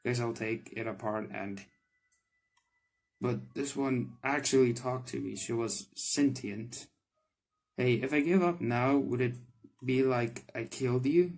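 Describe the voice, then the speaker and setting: A man's voice: mid-range voice, unhurried, darkish tone, lightly acted. A man voicing a flustered, kind-hearted young male magician from a game, hesitant and apologetic, with music behind. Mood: dejected, then determined. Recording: background music under the voice.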